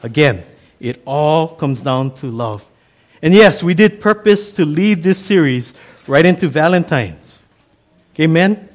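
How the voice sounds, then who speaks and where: A middle-aged man from Hawaii with a Hawaiian accent, Pidgin his first language, preaching a sermon in a relaxed, chatty, folksy way. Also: fairly high pitch, unhurried. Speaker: pastor.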